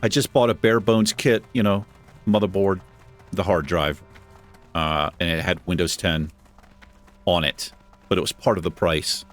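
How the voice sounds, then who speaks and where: A man with a deep voice hosting a gaming channel, chatting casually and self-deprecatingly while he plays. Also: fairly even intonation, measured pace, long pauses, faint music behind. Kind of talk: casual gameplay commentary. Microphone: desk microphone.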